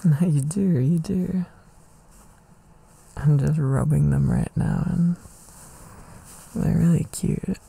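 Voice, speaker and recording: A man doing an intimate boyfriend ASMR roleplay, affectionate and soothing, with a soft breathy voice that often drops to a whisper, close on the mic. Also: fairly high pitch, slow pace, pauses often. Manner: affectionate, soothing, murmuring with hums. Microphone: close microphone.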